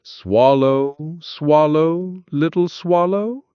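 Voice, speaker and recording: A man's voice: on the high side, extremely slow, clear, resonant tone, no echo, dull audio, pitched above the performer's own voice. A man voicing a sorrowful, generous prince statue in a storybook, speaking solemnly and imploringly.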